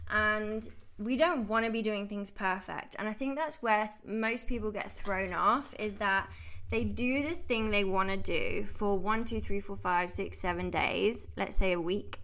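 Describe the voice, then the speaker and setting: A woman's voice: mid-range voice, a little slow, fairly bright tone. A woman in her thirties, a health coach hosting her podcast in a chatty, upbeat way. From United Kingdom. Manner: chatty and upbeat, laughs easily. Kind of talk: casual podcast monologue.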